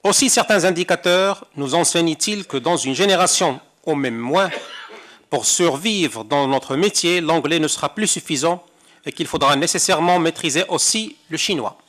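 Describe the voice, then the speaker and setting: A man's voice: a little high, lively intonation, moderate pace, a bit bright. An older man from Morocco, a conference interpreter, reading a prepared talk at a seminar with wry, rhetorical asides. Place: seminar hall.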